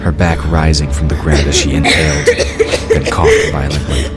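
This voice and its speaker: A man's voice: rumbling low, level tone, moderate pace. A man reading scripted narration for an audio drama. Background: loud music.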